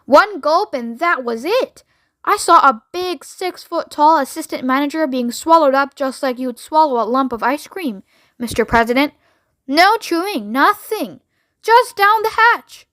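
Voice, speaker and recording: A woman's voice: high voice, lively intonation, measured pace, a bit bright, dry recording. A woman voicing a folksy, eager male astronaut, talking in an earnest, gushing drawl. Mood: shaken.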